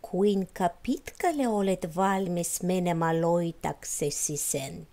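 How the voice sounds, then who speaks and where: A woman's voice: on the low side, dark tone, lightly acted. A woman voicing a mysterious mirror creature in a storybook, putting solemn, probing questions.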